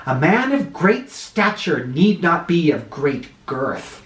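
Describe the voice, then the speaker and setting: Storybook statesman, male, read by a woman: diplomatic, formal, measured pace, roomy sound. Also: low voice, very animated, full character voice. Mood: tactful, formal. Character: tactful, wordy male diplomat, Secretary of State. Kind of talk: children's storybook character voice. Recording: roomy, somewhat far from mic.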